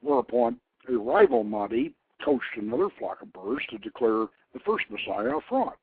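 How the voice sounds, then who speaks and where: An older man hosting a radio show, reading aloud and commenting informally in a deliberate storyteller's manner. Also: fairly high pitch, huge pitch swings, vocal fry, slightly rough, no room sound. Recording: narrow phone-like line, dry.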